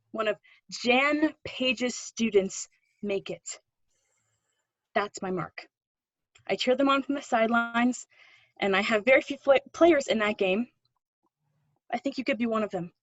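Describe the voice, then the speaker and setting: An earnest female drama teacher played by a woman in a readthrough, pushing and encouraging with a wistful streak. Her voice sits fairly high. The recording is close and dry but slightly dull. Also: moderate pace, long pauses, no echo, close to an everyday voice. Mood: wistful, impassioned. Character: earnest, pushy female drama teacher with faded acting dreams. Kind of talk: play readthrough, dramatic dialogue.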